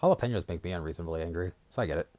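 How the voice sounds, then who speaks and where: A man with a deep, lowish voice who talks quickly. He chats with a friend during a game stream in an easygoing way, dropping wry remarks. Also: a little mellow. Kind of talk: casual chat while gaming.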